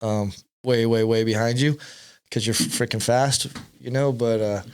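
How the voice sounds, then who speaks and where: A man's voice: medium pitch, fairly even intonation, measured pace, smooth. A middle-aged man hosting a casual podcast, joking and ribbing his guest. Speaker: podcast host. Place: podcast studio in California.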